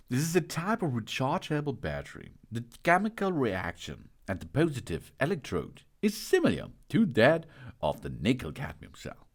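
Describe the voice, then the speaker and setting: A man presenting a product unboxing and explainer, partly reading technical text aloud, with halting delivery and stumbles over words. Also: lowish voice.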